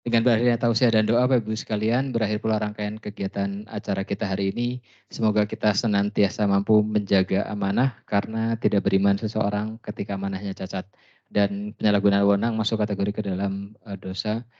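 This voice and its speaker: A male event host giving formal closing remarks in a measured, flat way. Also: fairly low pitch. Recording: dull lo-fi sound.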